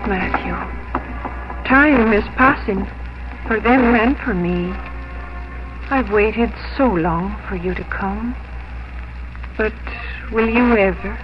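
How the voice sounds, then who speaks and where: An actress playing a gentle, dignified young schoolteacher in a 1950s American radio drama, speaking earnestly and formally. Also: female voice, a little high. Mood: wistful. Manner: earnest, measured, formal phrasing. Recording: muffled, noisy old radio recording.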